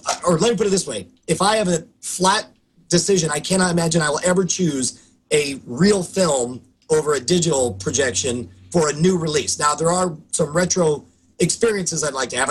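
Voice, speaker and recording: A man in his thirties with a hoarse voice, co-hosting a casual podcast over a video call and telling stories in an animated, restarting way. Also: fairly high pitch, low-quality recording. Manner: animated, quick storytelling with restarts. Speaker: co-host. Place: home studio room.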